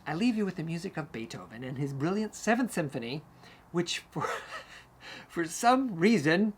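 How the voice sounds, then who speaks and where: A man's voice: on the high side, big pitch swings, moderate pace, a little hiss or hum. A man hosting a music talk, telling history stories casually and animatedly, acting out short quotes as he goes. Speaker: host, music educator and conductor. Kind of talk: casual storytelling about classical music history.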